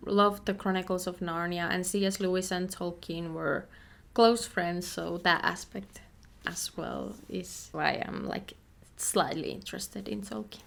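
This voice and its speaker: A woman's voice: fairly low pitch, unhurried, creaky, fairly bright tone. A young woman from Finland with a Finnish accent, hosting a casual chat and often laughing at herself. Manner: chatty, self-deprecating, laughs often. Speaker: channel host.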